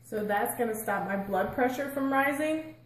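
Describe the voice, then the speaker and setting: A woman's voice: on the high side, normal speed, natural voice. A woman playing an inquisitive puppet patient in a scripted educational show, with a simple, questioning manner. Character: inquisitive female puppet patient with high blood pressure. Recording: roomy echo.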